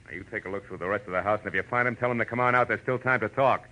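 An actor playing a sardonic, pushy American private eye in old-time radio noir, with clipped, slangy, wisecracking delivery. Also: male voice, on the low side, very fast, pushed and bright. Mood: forceful, pressing. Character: hard-boiled, sardonic male private eye, pushy and dogged. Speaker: detective lead and first-person narrator. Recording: muffled old radio recording, music behind.

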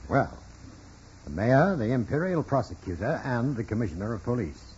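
An actor playing a shrewd, authoritative parish dean, firm and dismissive, in a 1940s radio drama. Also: male voice, medium pitch, very animated, normal speed, darkish tone, close to an everyday voice. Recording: dull, noisy vintage radio.

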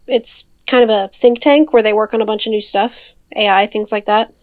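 An actress playing a caring, practical older sister in naturalistic audio drama dialogue, heard through a phone filter. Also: female voice, mid-range voice, fairly even intonation, brisk. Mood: helpful, matter-of-fact. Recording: thin, band-limited phone line.

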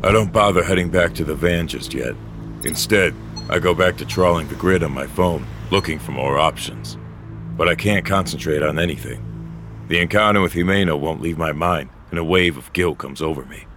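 An actor playing a weary, guilt-ridden, very old augmented great-grandfather in an audio drama, with a hesitant, low-key manner. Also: normal speed, music in the background, close to an everyday voice. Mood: guilty, brooding. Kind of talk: audio fiction acting with first-person narration.

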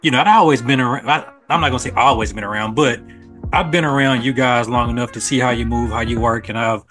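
An American man talking casually and thoughtfully on a podcast, explaining himself at length in measured phrases. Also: mid-range voice, a bit bright, faint music behind. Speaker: podcast co-host appearing as guest. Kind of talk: casual reflective podcast conversation.

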